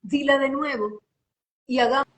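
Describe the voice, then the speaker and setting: A woman's voice: sits high, steady tone, normal speed, a little hiss or hum. A woman who works as a voice-over artist, giving advice on a live video call in an emphatic, teacherly way, repeating phrases and speaking with a slight sing-song lilt.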